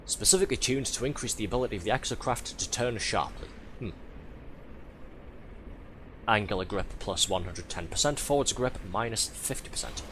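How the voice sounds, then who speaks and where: A man's voice: on the low side, lively intonation, moderate pace, slight background noise. A man with a head cold, streaming a game live, chatting and joking and reading on-screen text aloud as he plays. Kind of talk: casual live gameplay commentary. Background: loud game music.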